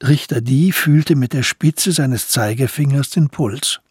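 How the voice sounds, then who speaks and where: A man's voice: mid-range voice, a little slow, husky, no echo, clean studio sound. A man giving scripted narration for a historical crime radio play.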